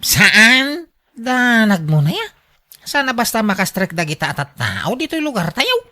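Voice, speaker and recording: A woman's voice: on the high side, big pitch swings, a little slow, sharp tone, exaggerated voice. A woman with an Ilocano accent acting as an anxious, doubtful younger villager in a radio drama.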